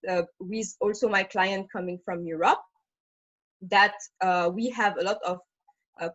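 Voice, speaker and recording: A woman's voice: medium pitch, dry recording. A woman from Senegal speaking as a panelist in a webinar, talking spontaneously with hesitations and fillers. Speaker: webinar panelist, managing director. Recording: dull, dry video-call audio.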